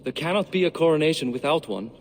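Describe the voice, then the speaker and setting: A man's voice: on the high side, animated, fast, in a large hall, a little hiss or hum, close to an everyday voice. An actor playing an anxious nobleman with a formal, fretful way of speaking.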